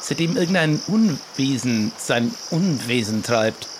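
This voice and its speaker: A man's voice: mid-range voice, lively intonation, measured pace, background noise. A man playing along in an improvised adventure audio play, with casual, exclamatory banter.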